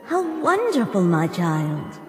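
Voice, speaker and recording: A woman voicing a doting, gentle motherly monster in a cartoon comic dub. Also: lowish voice, wildly swooping pitch, takes it slowly, reverberant, in a large hall, faint music behind, exaggerated voice. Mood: tender, delighted.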